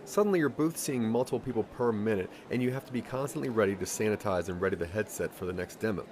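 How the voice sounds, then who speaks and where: A man's voice: lowish voice, quick pace, darkish tone, slight background noise. A man narrating a scripted interactive audio story in the second person, with a theatrical storytelling delivery.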